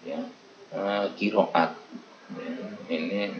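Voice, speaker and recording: A man giving an explanatory lecture on Islamic studies, halting, with many fillers and restarts. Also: deep voice, fairly even intonation. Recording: echoey, dull, crowd noise behind.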